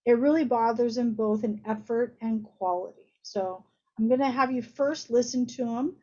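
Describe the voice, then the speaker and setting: A woman's voice: on the high side, normal speed, mellow. A woman doctor giving an informal medical lecture, explaining things conversationally with everyday analogies over slightly muffled audio.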